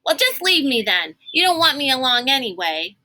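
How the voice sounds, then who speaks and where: A woman's voice: high voice, sing-song, moderate pace, sharp tone, voice pushed up, heavily acted. A woman voicing a small, grumpy storybook girl who complains in a whiny, sulky way.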